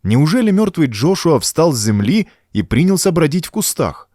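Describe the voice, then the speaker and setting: A man's voice: on the high side, sing-song, normal speed, mellow, dry recording, studio quality. A man narrating a horror adventure audiobook in a suspenseful, theatrical manner. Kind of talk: dramatic scripted narration of a horror adventure story.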